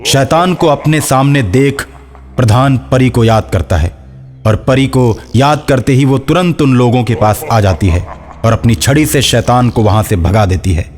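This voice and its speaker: A man's voice: mid-range voice, unhurried, darkish tone. A man narrating a scripted horror folk story as a storyteller. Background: faint music.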